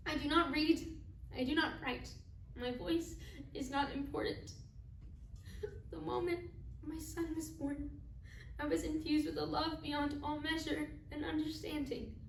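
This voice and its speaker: A woman's voice: sits high, little pitch movement, measured pace, gravelly, long pauses. A woman performing a stage monologue as a devout, grieving mother, speaking solemnly in emphatic short phrases. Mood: defiant, sorrowful. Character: grieving, devout, defiant mother of a dead son. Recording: roomy, distant mic, faint noise.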